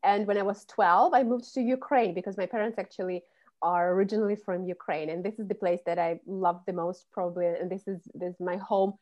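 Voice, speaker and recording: A woman's voice: mid-range voice, fairly even intonation, a little fast, darkish tone, lo-fi sound. A woman, a public speaking coach who explains things fluently in an interview. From Russia. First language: Russian. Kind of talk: podcast interview.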